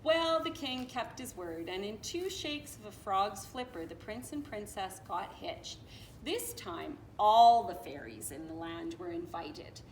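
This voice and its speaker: A woman reading a children's picture book aloud in an expressive, playful, dramatic way, with little asides. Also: mid-range voice, a little slow. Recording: roomy echo with clear background noise.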